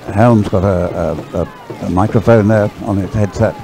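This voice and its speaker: A man's voice: fairly low pitch, fairly even intonation, moderate pace, vocal fry, a crowd in the background. A man doing live sports commentary in a chatty, hesitant, rambling way.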